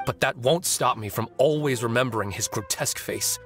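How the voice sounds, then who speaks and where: A courteous male murderer from an anime game, acted by a man, with a mid-pitched voice. He speaks in an articulate, deliberate, composed way over game music. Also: a bit bright, close to an everyday voice. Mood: quietly bitter. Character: composed, polite male novelist confessing as a killer. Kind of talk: anime video game voice acting.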